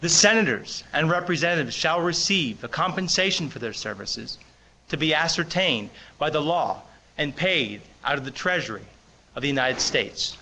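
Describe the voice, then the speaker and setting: A man with a fairly high, sharp and forceful voice reads formal legal text aloud, with faint noise behind him. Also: expressive, moderate pace, rough recording. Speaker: participant reader.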